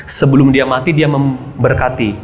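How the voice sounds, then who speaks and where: A man's voice: medium pitch, little pitch movement, normal speed, slight background noise. A male pastor preaching a sermon who keeps addressing the congregation directly and asking rhetorical questions. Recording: echoey hall reverb.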